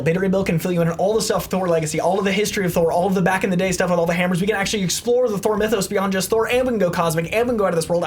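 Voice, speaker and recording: A man making a casual debate pitch as a contestant, emphatic and piling up repeated phrases.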